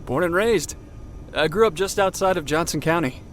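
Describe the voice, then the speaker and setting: Higher-pitched dutiful co-pilot man over loud engines. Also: normal speed, natural voice. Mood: friendly. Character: young male co-pilot, dutiful, soon to be father. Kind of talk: naturalistic audio drama acting. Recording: loud aircraft engine noise.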